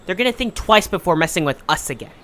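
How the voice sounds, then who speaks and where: A woman's voice: fairly low pitch, expressive, fast, fairly bright tone. A woman playing a cocky, dismissive little creature in an audio story. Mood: scoffing. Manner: scoffing, swaggering.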